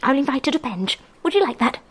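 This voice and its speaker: Young lady, very high pitch, gushing, inquisitive, upper-class, period radio drama. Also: very animated, quick pace, background noise. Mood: eager, confiding.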